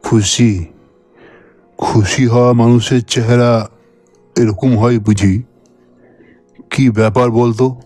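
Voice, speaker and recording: A man reading a scripted cartoon ghost story, with deliberate, drawn-out phrasing. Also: mid-range voice, steady tone, darkish tone, no echo. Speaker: story narrator voicing old friends. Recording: dry voice over background music. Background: music.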